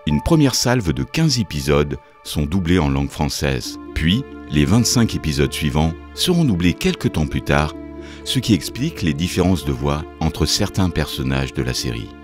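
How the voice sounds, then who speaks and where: Very deep-voiced male documentary narrator. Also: very animated, normal speed, music in the background.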